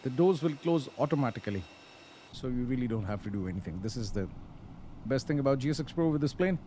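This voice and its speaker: A man giving casual live commentary on a flight simulator, explaining step by step with hesitant pauses. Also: medium pitch, lively intonation, mellow, slight background noise.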